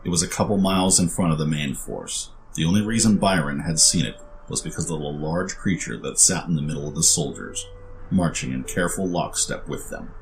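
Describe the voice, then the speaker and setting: A man's voice: extremely low pitch, brisk, noisy background. A man narrating a scripted fantasy story as its storyteller.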